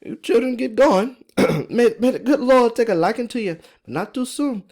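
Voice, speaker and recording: A man's voice: very high-pitched, expressive, normal speed, a little mellow, pitched above the performer's own voice, full character voice. A man voicing a kindly, wry Irish farm wife in an audiobook-style story reading, with hearty, folksy dialect. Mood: tender, hearty.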